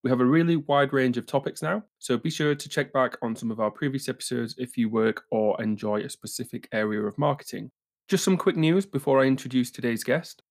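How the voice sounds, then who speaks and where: Man, podcast host, middle pitch, even scripted delivery, conversational interview questions. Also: fairly quick. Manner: reads a polished, scripted welcome and asks questions in an even tone.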